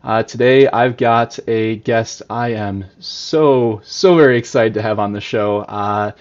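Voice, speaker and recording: A man's voice: fairly low pitch, unhurried, muffled recording. A man hosting a live-streamed data podcast, upbeat and chatty with frequent uhs. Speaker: podcast host.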